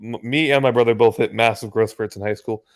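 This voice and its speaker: An American man in his early twenties, a guest telling animated stories with frequent laughter and swearing in a casual video-call chat. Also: mid-range voice, brisk. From United States. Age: early 20s.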